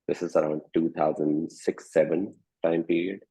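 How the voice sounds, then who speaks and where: Man in his thirties, founder from Pakistan, husky deep voice, thoughtful panel storyteller. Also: fairly even intonation, moderate pace, dark tone. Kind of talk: panel discussion. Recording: phone-like lo-fi audio.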